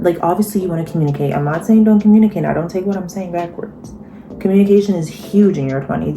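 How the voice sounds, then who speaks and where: A woman in her twenties giving life advice in a casual vlog, chatty and emphatic, often checking in with her viewers. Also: low voice. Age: 20s. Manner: chatty and emphatic, frequent "okay?" and "y'all".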